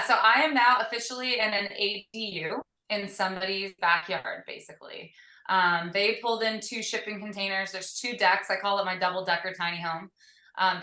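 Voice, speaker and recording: Energetic woman in an echoey room. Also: mid-range voice. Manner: energetic, upbeat, lots of fillers. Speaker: interview guest, musician. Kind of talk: casual video interview. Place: tiny shipping-container home.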